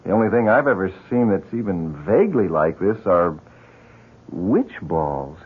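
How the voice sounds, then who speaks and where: An actor playing a friendly, mild-mannered neighbour in a 1970s radio drama, affable and a little hesitant. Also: male voice, low voice, animated, unhurried, husky, full character voice. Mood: curious, puzzled. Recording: muffled, tinny 1970s radio broadcast.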